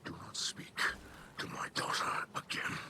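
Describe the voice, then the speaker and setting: A man playing a grave alien warrior leader and protective father in a video game, speaking in a formal, measured way with a breathy rasp. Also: noisy background, close to an everyday voice. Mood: stern anger. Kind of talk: scripted video game dialogue. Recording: music behind the voice.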